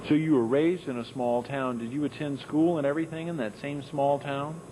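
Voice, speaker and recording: A man's voice: on the high side, steady tone, fairly quick, slight background noise, rough recording. A man interviewing a guest, asking follow-up questions and reacting with short comments.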